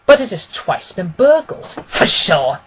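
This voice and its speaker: A man reading an audiobook, voicing a confident, analytical gentleman detective with an emphatic manner. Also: sits high, big pitch swings, measured pace, a little hiss or hum, heavily acted. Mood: assured, analytical. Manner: deductive, emphatic, self-assured.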